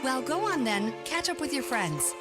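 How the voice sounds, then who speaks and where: An actress playing a plucky young fairy-tale heroine in a video game, expressive and spirited. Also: female voice, sits high, moderate pace. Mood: playful. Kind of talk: scripted video game dialogue. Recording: loud music behind the voice.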